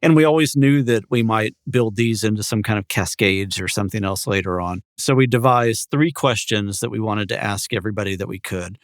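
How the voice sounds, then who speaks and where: Low-voiced man chatting on a podcast. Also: a little fast, hardly any pauses, dry recording, clean studio sound. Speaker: podcast co-host. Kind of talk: casual podcast chat.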